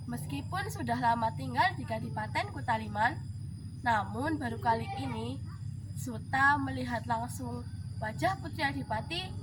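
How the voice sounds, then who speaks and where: A woman telling a folk tale as scripted narration, with dramatic, deliberate phrasing, as a contest storyteller. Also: a little high. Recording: clearly noisy background.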